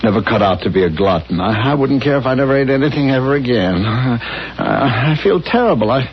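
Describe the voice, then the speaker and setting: A man's voice: mid-range voice, lively intonation, fairly quick, slight background noise, natural voice. Casting: male actor for timid well-meaning husband, stammering and earnest, average register, vintage radio play. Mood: miserable. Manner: hesitant, stammering. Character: meek, anxious, well-meaning husband, eager to please. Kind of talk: 1970s radio drama acting. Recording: muffled 1970s radio recording with music.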